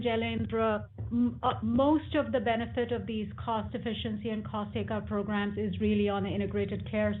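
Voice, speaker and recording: Businesswoman, medium pitch, halting unscripted explanatory answers, narrow conference-call sound. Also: a little hiss or hum. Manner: halting, explanatory, many hesitations. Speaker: company executive. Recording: tinny phone-like conference call line.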